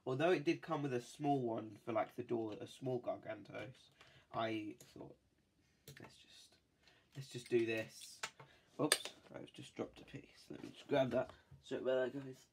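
Man with a cold, gravelly voice. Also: mid-range voice, unhurried, background noise. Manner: chatty, rambling, many fillers. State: has a cold. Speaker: toy-building video host. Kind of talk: casual build commentary. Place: indoors at a desk.

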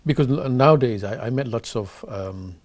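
A man's voice: medium pitch, moderate pace, a little mellow. A man in his thirties hosting a studio interview, asking earnest, eager questions with many fillers. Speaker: host. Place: TV studio set.

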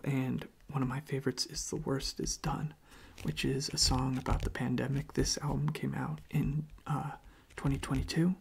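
A hushed ASMR voice of medium pitch, half-whispered and gently halting. It has a gravelly fry and stays very level, with faint noise and soft clicks behind it. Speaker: ASMR video creator, host. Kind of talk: soft-spoken ASMR, casual personal chat.

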